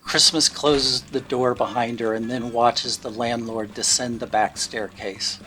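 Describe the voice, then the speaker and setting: A man with a mid-pitched voice narrates a scripted radio drama. He tells the story in a measured way, setting out each scene as a storyteller would. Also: bright, forceful tone, rough recording. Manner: measured storytelling in present tense, acting out brief quotes.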